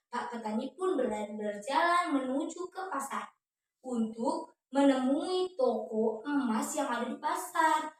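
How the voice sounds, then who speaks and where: A young woman narrates a children's tale in a high, expressive voice. She keeps turning to address her listeners. The recording is very echoey, with her some way from the microphone. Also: a little hiss or hum. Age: young adult. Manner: expressive, often addresses the audience as friends. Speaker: student storyteller. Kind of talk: children's storytelling addressed to viewers. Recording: echoey room, distant microphone.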